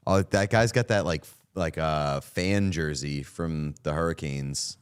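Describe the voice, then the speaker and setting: A man in his mid thirties, co-hosting a casual sports watchalong, telling stories and reacting excitedly to the game with some swearing. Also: extremely low pitch, unhurried, smooth. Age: 30s. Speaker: podcast co-host.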